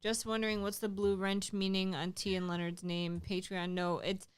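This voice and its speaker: Casual female streamer with a clean, smooth voice, reads out chat. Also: mid-range voice, level tone, normal speed. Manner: chatty, reads viewer comments aloud. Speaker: livestream co-host.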